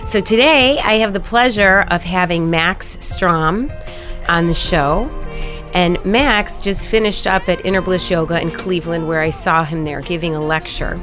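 A woman's voice: lowish voice, measured pace, music in the background. A woman hosting a podcast as a life coach, friendly and encouraging as she asks her guest questions.

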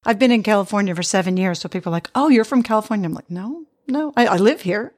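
A middle-aged woman hosts a relaxed podcast conversation. She talks in a chatty, reflective way, laughing now and then, with a voice of ordinary pitch. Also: fast talker. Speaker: podcast host. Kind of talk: casual interview chat.

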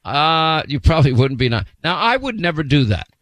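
A man hosting a call-in radio show, talking emphatically and slowing down to stress his points. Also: a little high, sing-song, a bit bright, dry recording. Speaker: talk radio host. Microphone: studio microphone.

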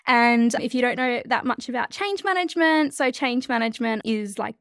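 An energetic Australian woman chats as a podcast guest in a bubbly, quick-laughing way. Her voice sits a little high and has a gravelly rasp. The sound is dry, with no room echo. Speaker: guest, change leadership expert. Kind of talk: casual interview chat.

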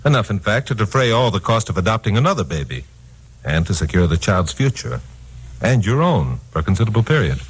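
A confident, hard-boiled investigator in an old radio drama. His voice sits low but moves widely in pitch, with a punchy 1950s delivery. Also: sing-song, fairly quick, husky. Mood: measured, polite. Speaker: lead character and narrator.

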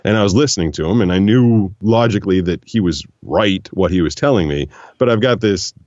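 Very deep-voiced man telling stories. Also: brisk, dry recording, dull audio. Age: 40s. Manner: animated, shifts voice when quoting people. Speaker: guest, voiceover actor. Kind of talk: relaxed storytelling conversation.

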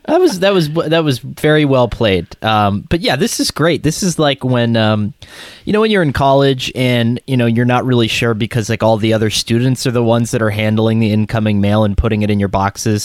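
A man's voice: medium pitch, a little fast, dry recording. A man co-hosting a sports podcast, talking loosely and rambling through his thoughts. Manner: rambling, many fillers and restarts. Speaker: podcast co-host. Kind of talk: casual sports podcast chat.

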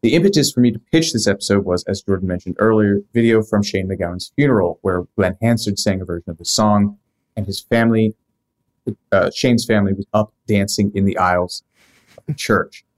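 A man's voice: lowish voice, a little fast, low-quality recording. A man hosting a podcast, reading scripted narration broken up by casual asides. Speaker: podcast host.

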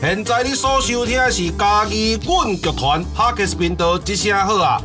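Man, Taiwanese accent, slightly high voice, casual podcast hosting, faint music behind. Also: moderate pace. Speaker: podcast host.

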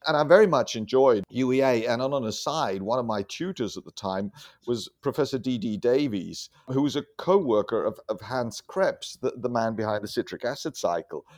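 A man's voice: mid-range voice, expressive, normal speed, darkish tone. A middle-aged man from Germany, a physician, talking reflectively and conversationally as an interview guest, explaining things carefully and often repeating words. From German, raised abroad. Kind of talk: conversational life-story interview.

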